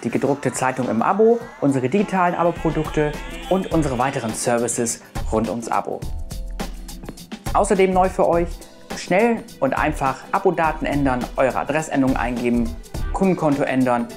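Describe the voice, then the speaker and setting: A man with a German accent reads a scripted promotional explainer as a voice-over narrator. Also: on the high side. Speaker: narrator of a subscription service tutorial. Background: music.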